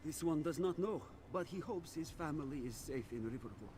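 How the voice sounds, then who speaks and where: A man's voice: fairly high pitch, expressive, brisk, background noise, lightly acted. A man playing a worried cat-folk villager in a fantasy video game. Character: worried male cat-folk villager speaking in third person.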